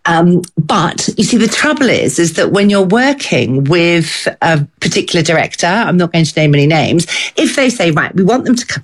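A woman with a fairly low voice talks about her work as an accent coach. She rambles along, full of fillers and asides. The tone stays casual and conversational. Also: very animated, normal speed, few pauses. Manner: chatty, rambling, lots of fillers.

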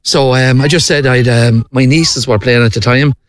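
Plain-spoken Irish team manager. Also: male voice, medium pitch, steady tone, normal speed. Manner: plain-spoken, with hesitant fillers. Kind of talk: casual interview.

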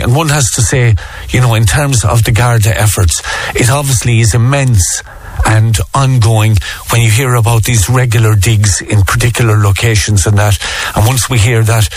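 A man's voice: medium pitch, slightly rough. Irish male radio presenter, earnest and chatty. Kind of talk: local radio show presenting. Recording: radio broadcast with faint music.

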